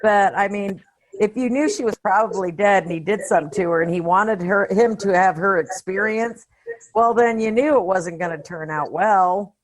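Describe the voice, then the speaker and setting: A woman's voice: medium pitch, moderate pace, low-quality recording. A woman co-hosting a casual film discussion in a conversational, questioning way. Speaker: podcast co-host.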